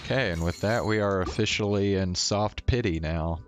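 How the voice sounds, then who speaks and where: A man giving casual, low-key live commentary as he plays a game, muttering to himself. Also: moderate pace, background noise. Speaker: game streamer. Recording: music behind the voice.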